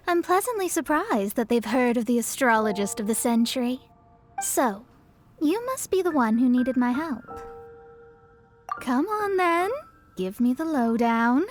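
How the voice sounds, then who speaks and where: Cocky young mage, high voice, brisk and haughty, game dialogue with music under it. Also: female voice. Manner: self-important, haughty. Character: proud, brisk young woman astrologist mage. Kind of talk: anime-style video game acting. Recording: music behind the voice.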